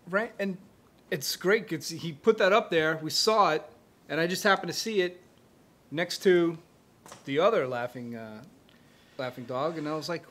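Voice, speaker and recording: A man's voice: on the high side, normal speed, pauses often, fairly bright tone. A man in his forties co-hosting a beer show with casual, teasing banter. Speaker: beer review show co-host. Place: small indoor set with curtain backdrop, seated.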